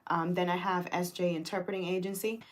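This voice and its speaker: Laughing low-voiced woman guest. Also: flat delivery, brisk. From Cuba, raised in Grand Cayman. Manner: laughs often, many fillers. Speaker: guest, business owner. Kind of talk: casual interview chat. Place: radio studio.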